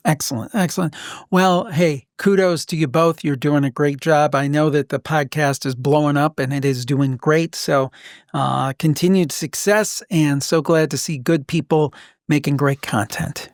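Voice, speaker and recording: A man's voice: fairly high pitch, fairly even intonation, normal speed, dry recording, studio quality. A man hosting a podcast interview in an upbeat, encouraging manner. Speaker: podcast host.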